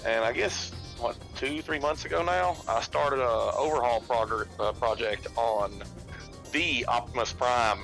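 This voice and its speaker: A man's voice: mid-range voice, measured pace, creaky, fairly bright tone, faint music behind, low-quality recording. A man chatting casually on a gaming stream, telling a rambling work story in a plain way. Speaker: stream guest. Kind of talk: casual chat.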